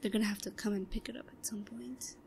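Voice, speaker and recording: A woman playing a shy, obsessive, secretly scheming schoolgirl in a roleplay audio drama, muttering to herself in a breathy voice that often drops to a whisper. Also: steady tone, noisy background, close to an everyday voice. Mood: furtive, scheming. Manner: hushed muttering to herself, halting. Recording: low-quality recording with faint crowd murmur.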